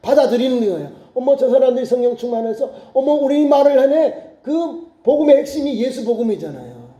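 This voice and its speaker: Male pastor, high voice, very dark mellow tone, animated preaching, echoey church. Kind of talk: sermon. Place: church sanctuary.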